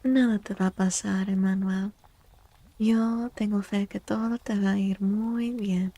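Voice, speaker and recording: A woman streaming soft-spoken ASMR tarot readings, speaking gently and breathily, often dropping into a whisper and repeating her words. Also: mid-range voice, steady tone, normal speed, dry recording. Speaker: live streamer doing tarot readings for chat.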